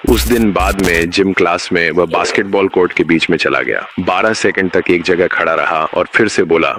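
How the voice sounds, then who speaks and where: A man narrating a scripted horror story in a measured, ominous manner. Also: deep voice, fairly bright tone, slight background noise.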